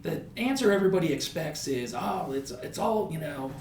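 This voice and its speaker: Higher-pitched American man in an echoey room. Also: expressive, normal speed, a little mellow. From United States. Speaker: high school principal. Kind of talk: conversational podcast interview. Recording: echoey room with faint background noise.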